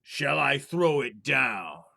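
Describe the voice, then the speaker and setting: A giant of a haunted palace, as a male storyteller puts him on, booming out commands. The words come slow and stretched, loud and thundering, in the grand manner of a folktale monster. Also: sing-song, vocal fry, full character voice. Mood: booming, commanding.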